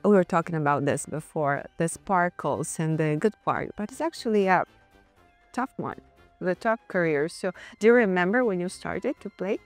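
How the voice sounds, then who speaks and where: A woman hosting an interview, chatty and quick to react to what her guest says. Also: on the low side, expressive, soft background music. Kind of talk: friendly interview.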